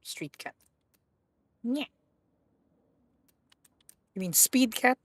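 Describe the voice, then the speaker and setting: A woman with a husky voice, hosting a live stream and rambling playfully while she plays. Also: fairly high pitch, expressive, very slow, dry recording. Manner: rambling, self-correcting, playful. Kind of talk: casual live-stream chat while gaming.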